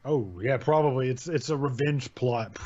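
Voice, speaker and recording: A man's voice: medium pitch, moderate pace, a little mellow. A young man hosting a casual chat about horror stories, rambling and laid-back, correcting himself as he goes, on a desk microphone. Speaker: channel host reviewing horror stories. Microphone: desk mic with pop filter. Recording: dull lo-fi home recording.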